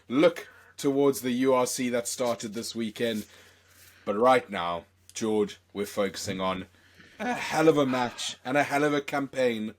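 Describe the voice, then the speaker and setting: A young man from South Africa hosting a casual podcast, hoarse from a chest infection, rambling and excitable with many fillers. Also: medium pitch. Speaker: podcast host.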